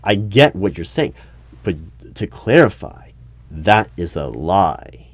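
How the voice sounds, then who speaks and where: A man playing a wary, probing hiring manager in a one-sided audio roleplay, speaking haltingly with stammers and repeats. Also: sits low, big pitch swings, slightly rough, dry recording, full character voice. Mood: accusing, suspicious. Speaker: hiring manager at a secret agency. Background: computer keyboard typing.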